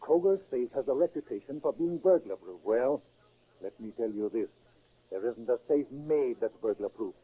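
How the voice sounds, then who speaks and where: An actor playing a bossy, confident safecracking gang leader in a 1930s radio drama. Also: male voice, mid-range voice, lively intonation, normal speed, slightly rough. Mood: commanding, cocksure. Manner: commanding, cocksure. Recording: muffled vintage 1930s radio recording.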